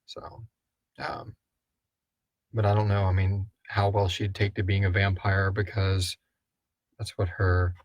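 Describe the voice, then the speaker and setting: A man's voice: sits low, little pitch movement, slow pace, pauses often, dry recording, muffled recording. A man hosting a podcast, chatting casually and thinking aloud as he answers listener questions. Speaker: podcast host and creator. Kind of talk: casual live Q&A chat.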